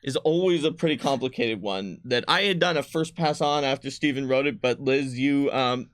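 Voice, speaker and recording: A man's voice: mid-range voice, normal speed, fairly bright tone, no room sound. A man co-hosting a casual livestream as a game designer, explaining things conversationally to viewers. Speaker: game designer and stream co-host.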